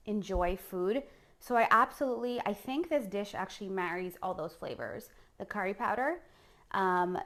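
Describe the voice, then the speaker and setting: A middle-aged woman from Puerto Rico chatting informally as a chef on a live cooking show, often checking in with viewers. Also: medium pitch. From San Juan, Puerto Rico. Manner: chatty, informal, addresses viewers directly with frequent "okay?" tags. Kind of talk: casual live-streamed cooking demo chat.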